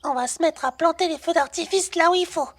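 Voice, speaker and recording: A woman's voice: very high voice, a little fast. A woman playing a brash, cheeky young working girl in a French roleplay audio drama, talking in casual slang with a teasing manner. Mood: teasing, breezy.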